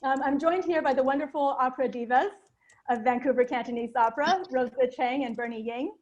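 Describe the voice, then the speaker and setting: A woman from North America hosting a live online event, speaking in a friendly, clear way with small fillers. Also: high voice, a little fast, darkish tone, muffled recording. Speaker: host.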